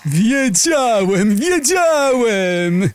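A man's voice: very high voice, sing-song, drawn-out words, over loud music, full character voice. A man voicing a nervous, easily frightened talking cardboard box in a children's audio drama. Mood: excited. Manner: nervous, exclaiming.

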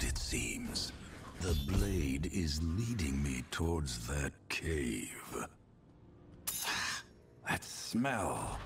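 A grim male adventurer from a fantasy game. His deep voice is gravelly and moves widely in pitch. He sounds brooding and deliberate. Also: exaggerated voice. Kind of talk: scripted fantasy game dialogue.

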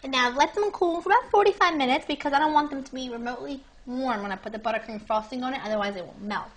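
Bubbly high-voiced woman cooking host. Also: racing. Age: young adult. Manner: bubbly, chatty, addresses viewers directly. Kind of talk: home cooking demonstration. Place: home kitchen, indoors. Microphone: lapel mic.

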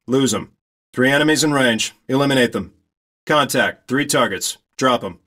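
Game role: male actor as military spotter pilot, middle register, terse and commanding, steady under pressure. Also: mid-range voice, normal speed, natural voice. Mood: focused, commanding. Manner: clipped, commanding. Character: steady male military reconnaissance pilot directing a ground squad. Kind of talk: terse military radio commentary, game acting.

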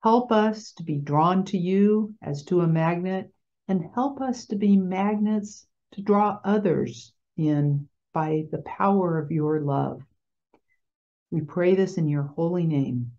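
A woman chaplain in her fifties speaks slowly and gently, as if to children, with a slightly low voice. She is in a small office, and the webcam sound is a little dull and lo-fi. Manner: gentle, playful, slow and clear like talking to children. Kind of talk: children's chapel talk with object lesson. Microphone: webcam video call.

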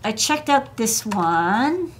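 A woman's voice: on the high side, very animated, slow, slight background noise. An American woman hosting a technical livestream, chatting informally about electronics with plenty of casual asides. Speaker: host, electronics engineer. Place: indoor desk workspace.

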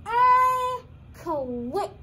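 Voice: extremely high pitch, big pitch swings, extremely slow, background noise, exaggerated voice. A young teen performer playing a put-upon, complaining puppet in a comedy skit. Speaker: student puppeteer in a school drama show.

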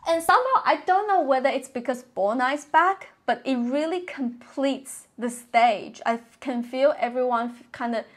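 A young woman giving casual, enthusiastic and expressive reaction commentary to camera, recorded indoors on a clip-on mic. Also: high voice, normal speed, hardly any pauses. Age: young adult. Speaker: reaction vlogger. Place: bedroom, indoors. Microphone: clip-on lapel mic.

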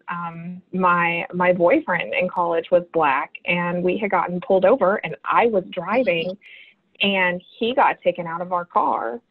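A woman casually sharing personal stories as a participant in a group discussion on a video call. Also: on the low side. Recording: thin phone-like video call audio.

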